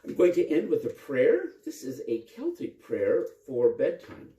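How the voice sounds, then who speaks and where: A man who is a priest telling a bedtime story to children in simple, gentle phrasing. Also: mid-range voice, huge pitch swings, measured pace, distant mic. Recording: roomy echo with faint music.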